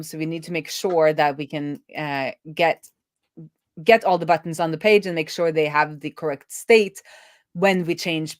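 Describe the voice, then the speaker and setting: Woman w lowish voice live streaming some coding, talking thru it casually, pretty dry sound. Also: dry recording. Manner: thinking aloud. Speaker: live-streaming web developer.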